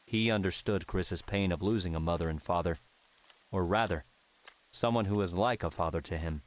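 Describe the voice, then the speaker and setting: A man's voice: low-pitched, normal speed. A man narrating a fantasy adventure audiobook from a script.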